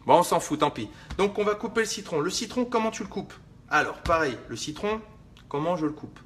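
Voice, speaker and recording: A man's voice: high voice, lively intonation, brisk, a bit bright. Joking man on a cooking livestream. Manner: joking, rambling, swears casually, talks to viewers. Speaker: fitness livestream host. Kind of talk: casual live cooking demo.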